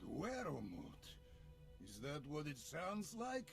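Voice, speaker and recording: A man voicing a weary, brooding dwarf leader in a fantasy game, creaky and musing, with music behind. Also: on the high side, huge pitch swings, pitched below the performer's own voice, heavily acted. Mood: wary. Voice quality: creaky, gravelly. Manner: drawn-out, musing.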